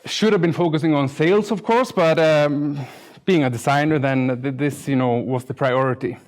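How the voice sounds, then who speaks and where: Middle-aged Icelandic man, slightly high voice. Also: moderate pace. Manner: self-deprecating, dry humour, hesitant. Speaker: conference speaker, UX designer. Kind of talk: informal conference talk with slides.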